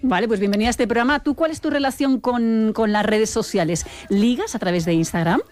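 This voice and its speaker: A woman's voice: fairly high pitch, brisk, a little mellow, faint music behind. A woman with a Castilian Spanish accent hosting an informal talk show. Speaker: host.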